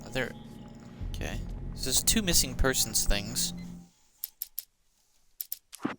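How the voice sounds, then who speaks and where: A woman's voice: extremely low pitch, animated, takes it slowly, over music. A woman doing casual live game commentary, muttering to herself as she plays. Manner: muttering to self while playing. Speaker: game streamer.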